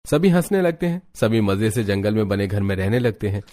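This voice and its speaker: कहानी सुनाता गहरी आवाज़ वाला पुरुष. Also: male voice, fairly low pitch, expressive, fairly quick, dark tone. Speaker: narrator. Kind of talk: scripted cartoon story narration.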